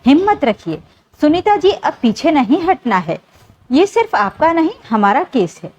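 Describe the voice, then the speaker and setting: A reassuring male police inspector read by a woman storyteller talks firmly in a crime story. The pitch is high for the role, and the delivery is very animated. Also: normal speed, muffled recording.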